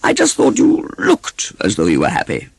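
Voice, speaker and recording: A man voicing an amiable, simple-hearted toy bear in a storybook, mild, musing and unhurried. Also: sits low, wildly swooping pitch, creaky, slightly rough, no echo, clean studio sound, pitched below the performer's own voice, exaggerated voice. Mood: friendly.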